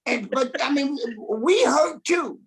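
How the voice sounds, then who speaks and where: A man's voice: extremely high pitch, animated, takes it slowly, gravelly, slight background noise. A man speaking bluntly and emphatically on a conference panel, swearing freely. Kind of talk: panel discussion.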